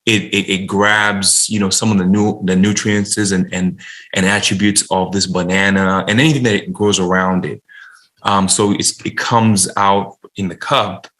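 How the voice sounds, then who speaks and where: A young man from Uganda, a guest founder telling his story in an interview, soft-spoken and halting with many restarts. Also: sits low, little pitch movement. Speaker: guest, coffee company founder.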